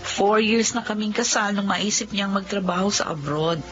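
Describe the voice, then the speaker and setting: A woman's voice: medium pitch, moderate pace, fairly bright tone, natural voice. An actress voicing a devoted young working wife and mother, narrating and speaking in an earnest, polite way. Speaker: narrator and lead. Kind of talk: Filipino radio drama narration. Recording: dull lo-fi audio over music.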